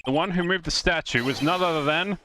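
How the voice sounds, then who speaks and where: A man's voice: on the high side, a little fast, background noise, close to an everyday voice. A man playing an earnest, confident young defence lawyer, declaiming in a live comedic dub of a courtroom game. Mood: confident, accusing. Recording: music behind the voice.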